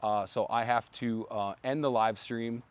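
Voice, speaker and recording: A man hosting a casual brand livestream outdoors, rambling with many fillers and restarts, his voice going hoarse. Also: mid-range voice, steady tone, measured pace. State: losing his voice. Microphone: phone.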